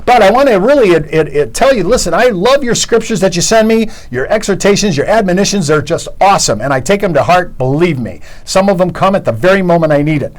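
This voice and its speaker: High, lively enthusiastic male host. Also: fast, few pauses, rough recording. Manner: enthusiastic, rambling, repeats words.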